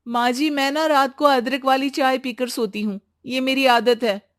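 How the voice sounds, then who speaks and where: A woman voicing an outspoken young bride-to-be in an expressive, emphatic cartoon story style. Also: high-pitched, normal speed, dry recording, clean studio sound, pitched above the performer's own voice, lightly acted. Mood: polite, perky. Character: Neha, outspoken young bride-to-be, chirpy, sometimes exasperated. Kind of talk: animated Hindi story voice acting.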